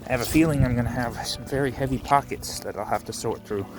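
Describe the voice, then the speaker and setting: A man casually narrating his rock hunt on a rainy beach outdoors, relaxed and musing, thinking aloud with small restarts. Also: medium pitch, quick pace, music in the background, low-quality recording. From Canada. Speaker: rockhounding vlogger.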